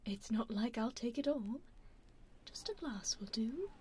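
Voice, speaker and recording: A woman's voice: fairly high pitch, expressive, measured pace, warm tone, husky, heavily acted. A woman with a British accent voicing a genteel, coaxing female vampire in a whispered ASMR roleplay. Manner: whispered, coaxing, politely menacing.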